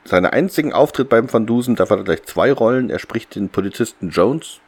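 A man's voice: on the low side, animated, a little fast, slightly rough. A man co-hosting a podcast, chatting casually and bluntly about an audio drama in informal language. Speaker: podcast co-host.

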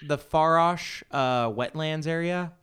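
A man's voice: a little high, takes it slowly, fairly bright tone, slight background noise. A man talking casually and enthusiastically about video games as a panelist on a podcast.

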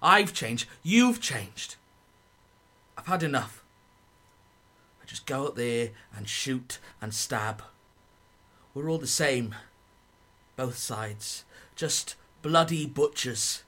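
Man playing a forceful, bitter young soldier. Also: medium pitch, expressive, slow, pauses often, exaggerated voice. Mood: bitter, weary. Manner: impassioned, fed up. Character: young war-weary British soldier, bitter and desperate to desert. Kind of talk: radio drama acting.

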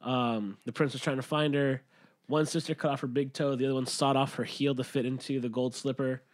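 A man's voice: medium pitch, level tone, fairly quick. A man co-hosting a podcast, retelling stories to friends in an informal, mildly profane way. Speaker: podcast co-host. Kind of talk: casual retelling among friends.

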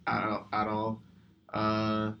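Talkative young guy, gravelly voice. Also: fairly low pitch, completely flat delivery, drawn-out words, muffled recording. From San Francisco, United States. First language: Arabic. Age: young adult. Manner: talkative, slangy, meandering. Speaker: podcast guest. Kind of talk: casual chat. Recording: faint background noise.

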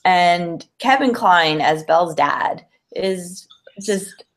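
A woman's voice: lowish voice, slow, fairly bright tone. A woman co-hosting a podcast, chatting casually and enthusiastically about films, peppering her sentences with "like". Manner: enthusiastic, informal, heavy use of "like". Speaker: podcast co-host.

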